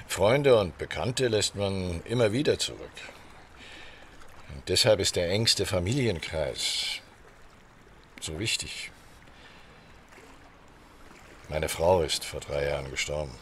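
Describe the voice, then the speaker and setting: Low-voiced, guarded male ambassador. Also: fairly bright tone, a little hiss or hum, heavily acted. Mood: guarded, sombre. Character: guarded, courteous widowed ambassador, middle-aged man. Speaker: actor. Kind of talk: radio crime drama dialogue.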